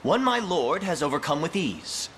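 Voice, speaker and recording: A man's voice: fairly high pitch, big pitch swings, moderate pace, a bit bright, slight background noise. A man playing an earnest, loyal knight in a fantasy game, speaking in a formal, stern, courtly manner. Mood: indignant, stern. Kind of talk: video game fantasy acting.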